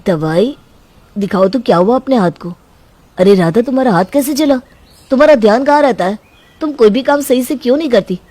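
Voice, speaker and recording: A gentle young husband from a cartoon family story, played by a woman. He reasons calmly and tries to settle arguments. The sound is close and dry. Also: on the high side, fairly quick, dry recording. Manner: reasoning, soothing. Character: young husband, mild and devoted to his mother.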